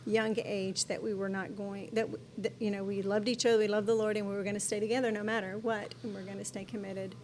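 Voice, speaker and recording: A woman's voice: mid-range voice, level tone, fast talker, few pauses, slight background noise. A middle-aged woman pastor, a guest in an interview, talking earnestly and casually with frequent restarts. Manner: warm and earnest, with frequent restarts and "you know".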